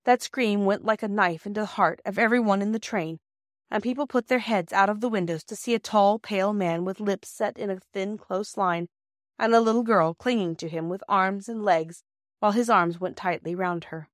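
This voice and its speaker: Female audiobook narrator: measured, expressive storybook reading, mid-range pitch, very dry recording. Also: dry recording.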